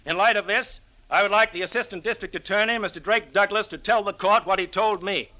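An American actor playing an earnest, formal prosecuting attorney who declaims in old-time radio courtroom style. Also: male voice, high-pitched, a little fast, bright, forceful tone, rough recording. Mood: formal, insistent.

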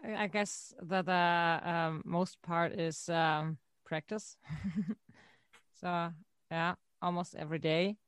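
A woman's voice: lowish voice, fairly even intonation, takes it slowly, clear, resonant tone, no room sound. A woman singer answering interview questions, hesitant and searching for words. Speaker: band singer.

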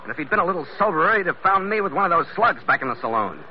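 A tough, wisecracking sea captain in old-time radio style. He is clipped and commanding, with a fairly high voice. The recording is muffled and noisy. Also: big pitch swings, racing. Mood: urgent, commanding. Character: tough, wisecracking ship captain narrating his adventure. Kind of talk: 1940s radio adventure acting and narration.

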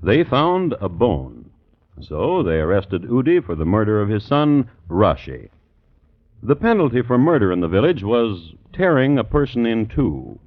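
A man narrating a scripted 1950s radio drama as its host, with wry, measured storytelling. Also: on the low side, big pitch swings. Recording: dull old radio recording with music. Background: music.

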